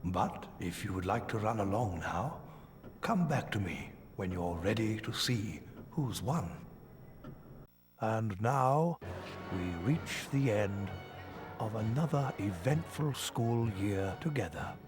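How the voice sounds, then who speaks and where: A man playing a wise, kindly old wizard headmaster with a measured, formal manner in a video game. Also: fairly low pitch, animated. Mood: measured, ceremonious. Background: music.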